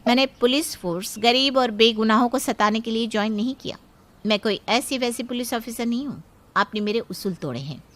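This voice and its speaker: A woman puts on a stern young policewoman from a cartoon family tale. The voice is on the high side. She lectures and commands with firm, principled authority. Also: a little fast, fairly bright tone, lightly acted. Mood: righteous. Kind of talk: cartoon moral story acting.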